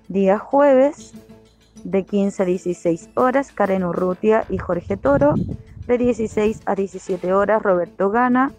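A woman announcing on radio, reading scripted lists item by item in a measured way. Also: mid-range voice, darkish tone. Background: faint music.

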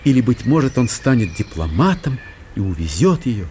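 A man reading literary narration for a radio play in an expressive, theatrical storytelling manner. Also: mid-range voice, normal speed, a little hiss or hum. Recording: studio radio play with faint music.

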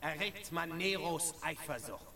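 A man's voice: on the high side, fairly even intonation, unhurried. A man playing a youth who recites classical tragedy in a solemn, theatrical, declamatory style for a radio play. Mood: solemn, impassioned. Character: young male reciting classical tragedy on stage. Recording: radio play with loud music.

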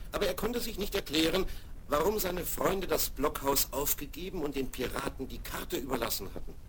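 A man narrating a children's radio play in a calm, even storytelling manner. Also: medium pitch, slight background noise, poor audio. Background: music underneath.

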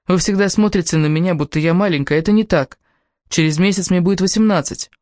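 A woman's voice: fairly low pitch, quick pace, darkish tone, dry recording, studio quality, lightly acted. A woman voicing a seventeen-year-old girl student in an audiobook, candid and a little defiant. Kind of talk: audiobook character dialogue.

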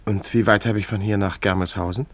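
Bewildered young painter, slightly husky. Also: male voice, lowish voice, steady tone, brisk, dry recording. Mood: puzzled. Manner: hesitant, earnest, polite. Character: lost young male painter, bewildered, polite and wistful. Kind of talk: German radio play acting.

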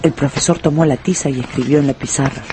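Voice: medium pitch, steady tone, fast, background noise, natural voice. A narrator reading a scripted, dramatized story for a radio drama.

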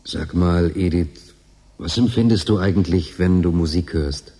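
A man's voice: sits low, measured pace, dark tone, slightly rough, exaggerated voice. An actor playing a lonely, teasing male convict in a German radio drama, talking in an intimate, musing way. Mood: tender, wistful. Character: Tonio, lonely male station convict, yearning, teasing, bitter.

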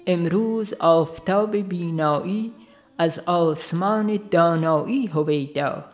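An audiobook reader, giving a scripted narration of a religious book. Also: medium pitch.

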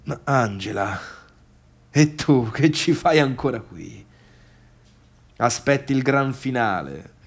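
An actor playing a bitter, unsteady failed man in a theatrical radio monologue, halting and repeating himself between orders and murmurs. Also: mid-range voice, animated, husky, heavily acted. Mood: wistful, subdued. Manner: repetitive, halting, swinging from barked orders to murmurs. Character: bitter, unsteady failed man dismissing his late guests.

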